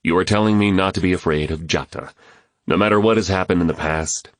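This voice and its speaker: A man's voice: sits low, fairly quick, no room sound, close to an everyday voice. A man voicing a practical grown man who talks in a plain, direct way, firm but kind, recorded dry and close. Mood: patient.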